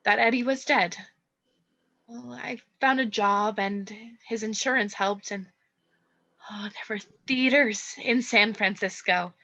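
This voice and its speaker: A woman's voice: medium pitch, takes it slowly, bright, forceful tone, highs cut off, full character voice. A woman playing a modest, earnest, stage-struck young woman in a radio play. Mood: sorrowful. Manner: modest, hesitant, polite.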